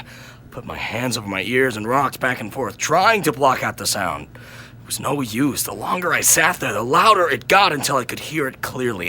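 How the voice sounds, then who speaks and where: A man reading a first-person horror story in an intense, theatrical manner that swings between hushed and loud. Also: medium pitch, a little hiss or hum. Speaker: narrator and reader of a horror story.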